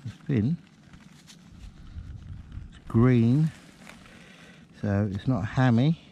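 A man's voice: medium pitch, drawn-out words, mellow, highs cut off. A middle-aged man chatting casually and informally, vlogging outdoors in an open field. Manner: informal, colloquial, matey. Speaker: metal detecting vlogger. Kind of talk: casual vlog chat and commentary. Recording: windy outdoor noise on action camera.

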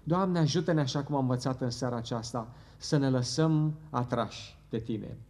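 A middle-aged man leading a closing church prayer at a pulpit microphone, earnest and fervent, pausing now and then. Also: mid-range voice, a little mellow. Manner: earnest, fervent, halting at times. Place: church hall with wood paneling.

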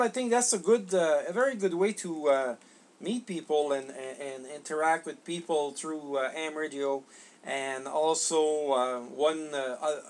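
A middle-aged man making casual ham radio contact, halting and repeating words as he goes. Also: fairly high pitch. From Canada. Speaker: amateur radio operator. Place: small home radio room, indoors.

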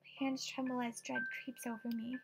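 A woman's voice: fairly high pitch, little pitch movement, moderate pace, very noisy. A woman voicing a frightened, superstitious young estate agent in a horror game, with a dramatic, tremulous delivery. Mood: uneasy, hushed. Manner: dramatic, tremulous, breathy asides, outbursts. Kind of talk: horror visual novel voice acting.

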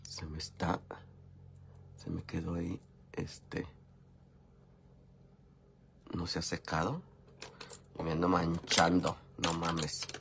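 Gravelly man with a cold, Mexican accent. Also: sits low, completely flat delivery, takes it slowly, long pauses, fairly bright tone, a little hiss or hum. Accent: Mexican Spanish. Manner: chatty, swears freely, greets viewers by name. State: has a cold. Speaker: makeup vlogger. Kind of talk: casual live chat while doing makeup. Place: indoor home makeup room.

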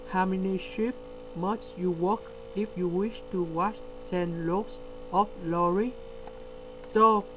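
A man with a delivery, explaining a word problem step by step in a screen-recorded lesson. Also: sits high. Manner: slow, step-by-step explaining with pauses. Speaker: tutor. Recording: steady electrical hum behind.

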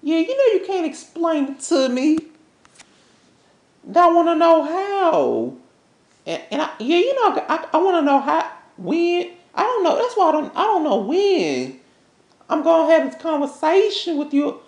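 A woman's voice: high voice, very animated, normal speed, a little mellow. A woman acting an angry, heartbroken woman confronting her cheating lover in a melodrama, stammering and repeating herself. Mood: indignant. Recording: echoey, roomy sound.

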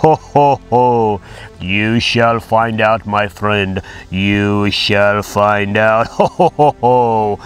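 A man voicing a pompous scheming villain warlord who booms ho-ho laughs and speaks of himself in the third person. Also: extremely slow, clear, resonant tone, faint music behind. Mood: gloating. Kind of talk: toy play voice acting.

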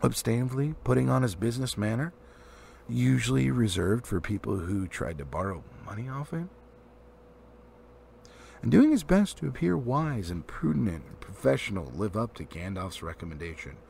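A man's voice: medium pitch, very animated, moderate pace, gravelly, a little mellow. A man reading a storybook aloud expressively, as an amateur audiobook reader. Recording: faint background noise.

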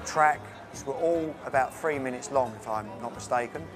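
A man in an interview, explaining with animated delivery that speeds up and slows down. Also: medium pitch. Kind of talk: interview recollection. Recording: music playing underneath.

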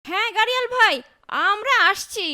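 A fretful cartoon mother, very high and bright in tone. She scolds and urges with worry, in fairytale style. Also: moderate pace, exaggerated voice. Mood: scolding. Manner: urgent, admonishing.

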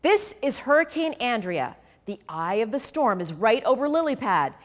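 An earnest young storybook frog forecaster, played by a woman. He is high-voiced and eager, announcing like a TV newscaster with animated pitch. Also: moderate pace. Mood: earnest, warning. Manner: bright-eyed newscaster announcing.

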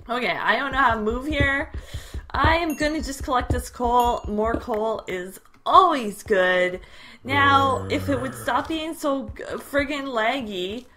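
Young Canadian woman, gaming commentary, bright edgy voice, a bit high, jumpy squeals, faint hiss. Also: unhurried. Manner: jumpy, squealing exclamations and chatty asides. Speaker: gaming video creator. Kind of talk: casual gameplay commentary.

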